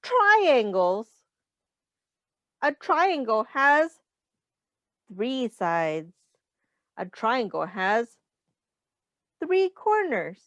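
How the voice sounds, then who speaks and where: Casting: female early-years teacher, slow and very expressive, doing an interactive children's read-aloud. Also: fairly high pitch, no echo. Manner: instructive, explains in simple words, repeats and counts aloud; addresses children directly, pausing for their answers. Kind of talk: children's read-aloud with questions to young listeners.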